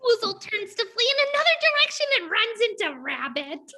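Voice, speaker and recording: Very high, bright female narrator. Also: very animated, moderate pace. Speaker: narrator reading stage directions. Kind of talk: scripted narration.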